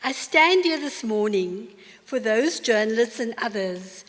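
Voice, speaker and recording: An older woman with a South African accent giving a formal acceptance speech in a large university hall, measured and earnest. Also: on the high side, animated, a bit bright. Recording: long reverb of a large hall.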